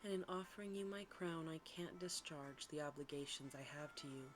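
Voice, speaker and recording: A woman voicing a gentle, lovelorn enchanted male beast-prince in a hushed, earnest storybook manner. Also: sits low, fairly quick, music in the background, natural voice. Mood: grateful, earnest.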